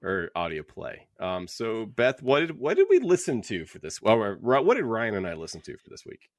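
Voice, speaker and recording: A man's voice: on the low side, huge pitch swings, moderate pace, dry recording, studio quality. A man co-hosting a podcast in casual chat, wry and emphatic, repeating phrases for effect. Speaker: podcast co-host.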